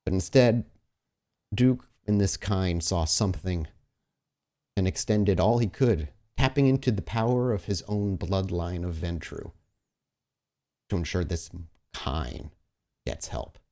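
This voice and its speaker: A man with a low voice runs a tabletop roleplaying game. He mixes improvised, descriptive scene-setting with casual table talk, and he addresses his player directly in a conversational way. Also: expressive, a little slow, long pauses, mellow.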